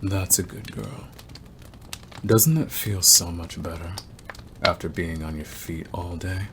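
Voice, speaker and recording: A man playing a predatory, obsessive male werewolf in an ASMR roleplay, talking in a deliberate, teasing way with drawn-out pauses. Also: sits low, noisy background. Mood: coaxing, controlling.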